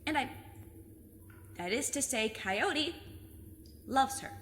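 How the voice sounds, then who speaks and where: Casting: woman for plucky teen girl, high, bright, emphatic, defensive, audio drama with cavernous reverb. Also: expressive, takes it slowly, heavily acted. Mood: defensive, flustered. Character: plucky, stubborn fifteen-year-old girl with truth-sensing curse. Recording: long cave reverb, faint noise.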